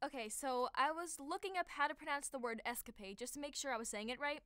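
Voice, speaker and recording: High-voiced woman chatting between takes. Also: fast, heavy background noise. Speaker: voice actor. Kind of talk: casual blooper chat.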